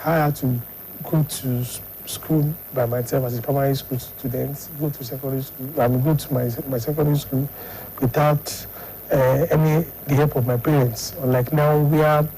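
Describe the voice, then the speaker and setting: A middle-aged man from Lagos with a Nigerian accent, answering interview questions in a halting way and repeating himself. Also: mid-range voice, fairly even intonation, warm tone, a little hiss or hum, poor audio. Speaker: studio guest, sports psychologist and academic.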